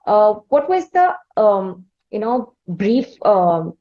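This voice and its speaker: A woman hosting a music interview, effusive and rambling, with frequent hesitant pauses. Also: mid-range voice, sing-song, highs cut off. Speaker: interviewer, host.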